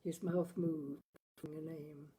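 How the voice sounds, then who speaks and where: A woman narrating a short story in an expressive, deliberate audiobook style, savoring the phrasing. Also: deep voice. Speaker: literary reader, storyteller.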